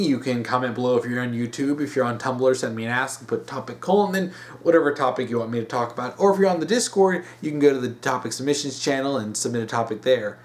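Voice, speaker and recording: A male host talks through his opinions unscripted, in a medium-pitched voice. He speaks emphatically, often halting and restating a point to drive it home. The feel is that of a solo commentary video. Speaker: host of a weekly topic video series.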